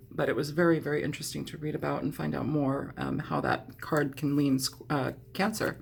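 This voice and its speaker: A woman reading tarot in a chatty, meandering way, hoarse and scratchy from a cold, often clearing her throat. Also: low-pitched. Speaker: tarot reader. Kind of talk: casual tarot reading monologue.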